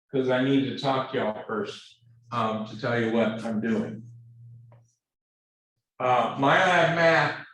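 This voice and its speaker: A man lecturing a math class, speaking in a halting, earnest way with repeated words and many fillers. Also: on the high side, a bit bright. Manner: earnest, pleading. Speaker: math instructor. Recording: very echoey classroom, distant mic.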